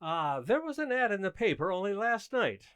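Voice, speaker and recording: A man's voice: high-pitched, sing-song, normal speed, fairly bright tone, clear, resonant tone, dry recording. Guy doing a coaxing flustered husband in a radio comedy, voice going up and down a lot, dry sound. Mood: persuasive, earnest. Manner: hesitant, pleading, earnest. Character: well-meaning, flustered suburban husband, coaxing and defensive. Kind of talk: old-time radio sitcom acting.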